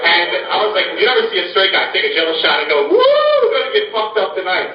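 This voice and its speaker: A man's voice: sits high, huge pitch swings, fast, a little hiss or hum. A man doing a crude stand-up set, chuckling through his lines on a club stage. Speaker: stand-up comedian. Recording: lo-fi, distant, echoey club with crowd.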